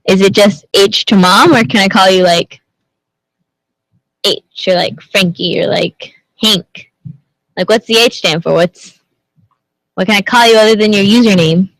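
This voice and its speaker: A woman's voice: medium pitch, moderate pace, pauses often, a bit bright, no echo, rough recording. A young woman who grew up in Indonesia, hosting a casual livestream and chatting with viewers, greeting them and asking lots of questions. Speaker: livestream host.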